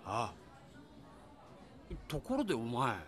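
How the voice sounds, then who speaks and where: A wistful, kindly middle-aged man played by an actor tells his story in a voice drama. His medium-pitched voice moves with lively inflection between reflective narration and hearty, coaxing talk. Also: slow, full character voice. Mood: chummy. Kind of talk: Japanese voice drama, narration and dialogue.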